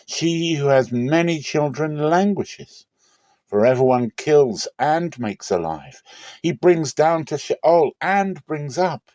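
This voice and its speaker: A man's voice: mid-range voice, darkish tone, no room sound, pitched above the performer's own voice. A man voicing a rejoicing woman in prayer. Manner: exultant, proclaiming, slow stresses. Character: rejoicing woman praying in triumph.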